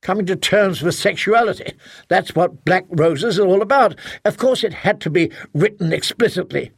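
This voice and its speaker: A defensive novelist husband argues hotly, exasperated and sharp. A man voices him in an audiobook, pitched a little above his own voice. The recording is dry and close. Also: moderate pace, vocal fry, slightly rough, dry recording, heavily acted. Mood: angry. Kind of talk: audiobook character voice.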